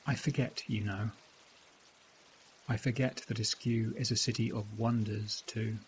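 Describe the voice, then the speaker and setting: A man's voice: lowish voice, little pitch movement, measured pace, natural voice, low-quality recording. A man playing a wistful, haunted city dweller in a reflective, literary audio drama monologue. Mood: wistful wonder. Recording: hissy recording with clear noise.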